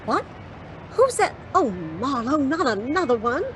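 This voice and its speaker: Sassy tough-gal sidekick , actress, fairly high, very expressive voice, sarcastic audio-drama banter over noisy city ambience. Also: female voice, measured pace. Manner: teasing, sarcastic. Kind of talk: hard-boiled detective radio drama.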